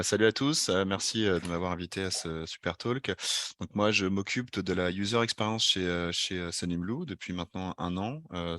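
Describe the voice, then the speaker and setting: A man with a French accent, a panel guest who heads a UX team, introducing himself in a halting way full of fillers and restarts. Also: sits low, fairly bright tone.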